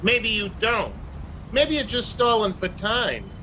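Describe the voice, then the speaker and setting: High-voiced menacing tough guy acted by a man. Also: big pitch swings, slow pace, dull audio, slight background noise, exaggerated voice. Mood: menacing sneer. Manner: sarcastic, threatening. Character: menacing male tough guy, sneering and bullying. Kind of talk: old time radio drama acting.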